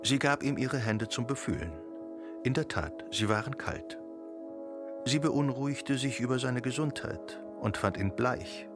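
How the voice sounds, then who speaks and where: A man reading literary narration for a radio drama in a measured, deliberate way. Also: mid-range voice, animated. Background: music.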